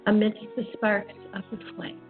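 An older woman hosting an online spiritual radio program, speaking in a reflective, informal monologue with halting, deliberate phrasing. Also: mid-range voice, little pitch movement. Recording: dull, phone-like internet radio audio. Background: music.

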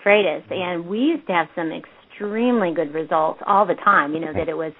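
A woman guest researcher chatting in a relaxed, rambling way, stopping now and then to recall names. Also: lowish voice, normal speed. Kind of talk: casual interview talk. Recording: tinny phone line.

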